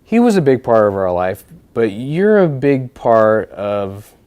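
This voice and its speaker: A man hosting a casual podcast, easygoing and asking his guest questions with frequent "uh" pauses. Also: medium pitch, sing-song, clean tone, phone-quality sound. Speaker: podcast host.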